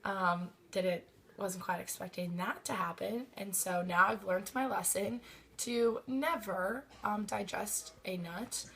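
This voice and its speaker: A young woman in her twenties tells a personal story casually, her voice a little hoarse. Her tone is bright and clear. She fills pauses with ums and small laughs. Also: mid-range voice. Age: 20s. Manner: chatty, many ums, nervous laughs.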